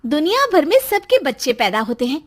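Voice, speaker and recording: A woman voices a scolding young wife in a cartoon comedy. Her voice is very high, and she is bossy, unimpressed and dripping with sarcasm. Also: sing-song, a little fast. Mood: exasperated. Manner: sarcastic, nagging. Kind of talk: comic moral-story cartoon dialogue.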